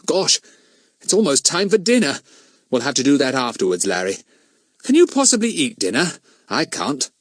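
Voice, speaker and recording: A man's voice: medium pitch, big pitch swings, moderate pace, pauses often, no room sound, studio quality, pitched above the performer's own voice. Man playing a confident, cheeky boy detective. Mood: brisk, fussing.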